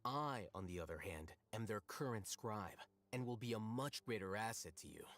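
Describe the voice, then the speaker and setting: A calm, confident scholar and scribe in a video game. His voice is very low and steady. He argues his case with cool composure. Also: normal speed, a little hiss or hum. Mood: composed. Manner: composed, persuasive.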